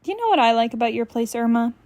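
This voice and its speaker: Higher-voiced woman reading a comic book aloud. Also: lively intonation, rapid-fire, darkish tone, dry recording. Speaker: storybook reader. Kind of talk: expressive comic read-aloud.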